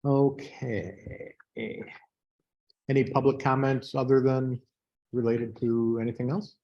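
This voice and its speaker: A slightly muffled recording of a man with a medium-pitched voice. He chairs a local meeting, speaking in an informal, procedural way as he works through business. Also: fairly even intonation, takes it slowly. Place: meeting room. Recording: dull, muffled room recording.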